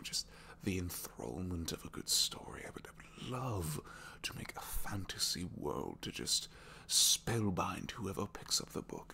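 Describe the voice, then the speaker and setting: A man playing a captured supervillain in an intimate ASMR roleplay, a gentle, bookish dreamer who speaks softly and hesitantly, often sinking toward a whisper. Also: low-pitched, creaky, heavily acted. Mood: shy, dreamy. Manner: hesitant, soft-spoken, rambling, often dropping to whispers.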